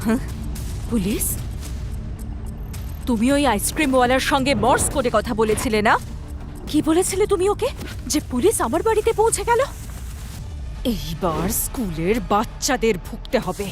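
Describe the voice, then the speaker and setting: High-pitched, menacing cartoon villainess. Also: female voice, sing-song, normal speed, long pauses. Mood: menacing, angry. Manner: menacing, theatrical, commanding. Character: cruel, threatening female villain blackmailing a police inspector. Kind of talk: dramatic cartoon voice acting. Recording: music under the voice.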